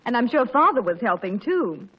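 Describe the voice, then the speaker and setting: A woman's voice: a little high, big pitch swings, moderate pace, slightly rough. A woman playing a genteel, fluttery middle-aged mother in a 1940s radio sitcom, with gushing, hesitant, sociable talk. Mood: gently scheming. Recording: muffled 1940s radio recording.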